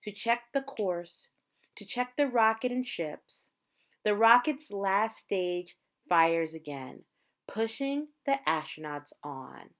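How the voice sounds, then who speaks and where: A woman reading a picture book aloud like a teacher, in measured, dramatic phrases with emphasized words. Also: medium pitch, animated, fairly bright tone. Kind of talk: read-aloud storytelling.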